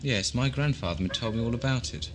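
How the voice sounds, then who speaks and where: A man's voice: mid-range voice, a little fast, noisy background, lightly acted. A man acting in an audio drama as a talkative spacer, spinning yarns in a chatty way. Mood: relishing the tale. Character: talkative spacer spinning his grandfather's tales.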